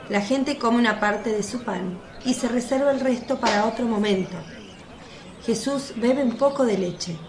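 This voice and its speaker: Higher-voiced woman narrating over crowd sounds. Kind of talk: scripted story narration for a religious radio play.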